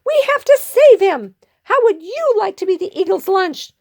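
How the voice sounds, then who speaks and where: Lady doing a tiny excitable prairie dog for a storybook, super high n swoopy, bossy and pleading. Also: normal speed, dry recording, studio quality, heavily acted. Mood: urgent, scolding. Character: small excitable prairie dog, earnest, urgent little leader. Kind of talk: animated children's storybook character voice.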